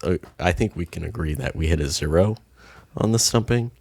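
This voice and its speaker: A man's voice: low-pitched, animated, fairly quick, vocal fry, a little mellow, no room sound. A young man co-hosting a casual podcast, laid-back and swearing freely, at times reading a post aloud. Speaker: podcast co-host.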